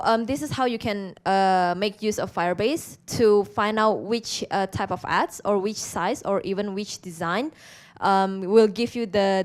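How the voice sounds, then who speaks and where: A woman's voice: mid-range voice, clear, resonant tone. A woman from Indonesia with an Indonesian accent, speaking as a presenter to a conference audience in an interactive way.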